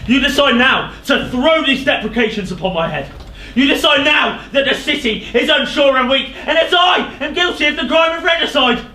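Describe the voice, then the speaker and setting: A man's voice: very high-pitched, pushed and bright, heavily acted. A young man playing a proud, suspicious, hot-tempered king in a stage tragedy, with declamatory, indignant delivery. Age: young adult. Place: theatre stage. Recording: echoey theatre with background noise.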